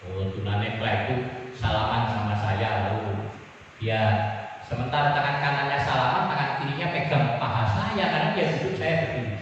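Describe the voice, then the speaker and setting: A man preaching a Sunday sermon as pastor, telling stories and acting out voices with big jumps in pitch. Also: lo-fi sound, slight background noise. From Kalimantan. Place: church hall. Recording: echoey church hall from far off.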